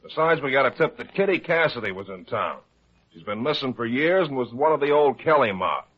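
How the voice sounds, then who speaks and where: An excitable, swooping police lieutenant. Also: male voice, medium pitch, brisk, husky. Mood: puzzled, excitable. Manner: blustery, slangy, indignant. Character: excitable, grumbling, hot-headed police lieutenant. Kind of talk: old-time radio detective drama acting. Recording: muffled lo-fi old radio recording.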